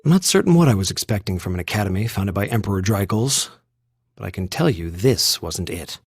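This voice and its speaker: A man's voice: on the low side, expressive, a little fast, husky, dry recording, clean studio sound. An actor playing a proud, easily indignant young male student in a video game, speaking in an earnest, defensive and precise way. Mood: exasperated.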